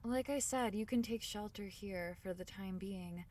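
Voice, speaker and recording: A woman voicing a tiny, caring fairy in a soothing ASMR fantasy roleplay, speaking softly in slightly formal storybook phrases. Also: medium pitch, a little slow, distant mic, lightly acted. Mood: hushed concern. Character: tiny gentle female fairy, caring and protective.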